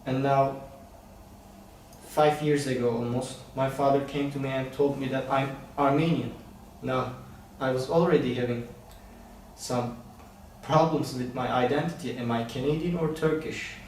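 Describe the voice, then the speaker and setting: A young man answering interview questions calmly and reflectively, telling his own story. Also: medium pitch, unhurried, slight background noise. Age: young adult. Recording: very echoey room, distant mic, faint ambience.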